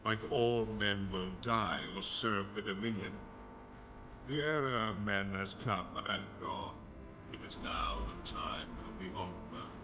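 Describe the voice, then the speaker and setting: A man voicing a cold, smug elven commander in a fantasy game, taunting and deliberate in his threats. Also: on the low side, lo-fi sound, background noise, natural voice. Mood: contemptuous. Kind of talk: fantasy game villain monologue. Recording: loud music under the voice.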